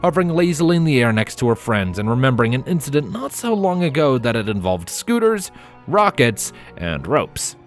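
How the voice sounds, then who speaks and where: A man giving an expressive scripted narration of a story as its narrator. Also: mid-range voice, fairly quick, soft background music.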